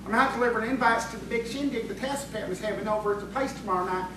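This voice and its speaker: Lady doing a folksy eager townsman, high and fast, sociable, radio play in a big echo. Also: natural voice. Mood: hurried, polite. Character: brisk, folksy, eager male townsman delivering invitations. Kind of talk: audio theatre acting. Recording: very echoey, distant, noisy room.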